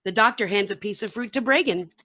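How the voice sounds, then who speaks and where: A woman's voice: medium pitch, fast talker, pushed and bright. A woman narrating the action of a radio play from a script. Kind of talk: scripted narration, reading stage directions.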